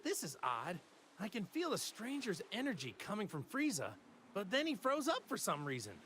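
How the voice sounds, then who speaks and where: A man's voice: high-pitched, huge pitch swings, normal speed, fairly bright tone, slight background noise, heavily acted. An actor playing a cheerful, naive martial arts hero in an anime fighting game, a man with a boyish, easygoing, earnest manner. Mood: curious, puzzled. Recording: music behind the voice.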